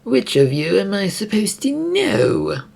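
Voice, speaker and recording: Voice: mid-range voice, huge pitch swings, slow, gravelly, darkish tone, voice pushed up, full character voice. A narrator voicing a haughty, paranoid fantasy prince in an imperious, indignant manner for a story. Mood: suspicious.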